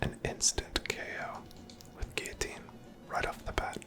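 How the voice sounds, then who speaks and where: An ASMR game streamer speaks entirely in a breathy whisper. The delivery is unhurried and reflective, as if thinking out loud while playing. No full voice comes through, only soft, airy speech. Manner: unhurried, musing aloud. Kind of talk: whispered ASMR gameplay commentary.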